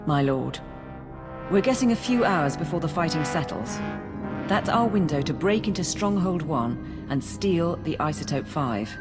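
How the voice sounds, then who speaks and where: An actor playing a deferential Imperial operations officer in a video game, giving a brisk, orderly, businesslike briefing. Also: medium pitch, animated, big-room sound, over music, natural voice.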